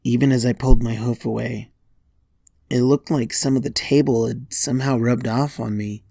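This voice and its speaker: A man reading a scripted first-person horror story in a deliberate way, pausing between short phrases. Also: medium pitch, fairly even intonation, gravelly, warm tone, dry recording, studio quality. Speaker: fan fiction narrator.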